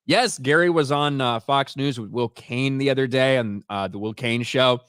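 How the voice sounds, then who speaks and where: Male live-show host, loose talker, close dry mic, mid-pitched. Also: normal speed, fairly bright tone, clear, resonant tone, dry recording. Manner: reads viewer super chats aloud, swears freely. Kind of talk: casual live-stream chat.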